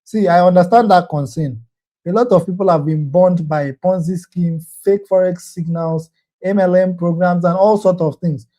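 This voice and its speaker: Course instructor, male, high-pitched but mellow, persuasive pitch that often repeats itself and checks in with "okay?". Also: normal speed. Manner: earnest, persuasive, repeats phrases, ends points with "okay?". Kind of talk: sales pitch answering common questions.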